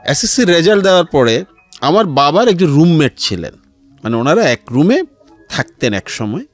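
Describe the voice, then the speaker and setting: A middle-aged man with a Bangladeshi accent telling his life story as an interview guest, in a measured way with pauses and some English words mixed in. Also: fairly high pitch, very animated. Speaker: interview guest, civil servant. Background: background music.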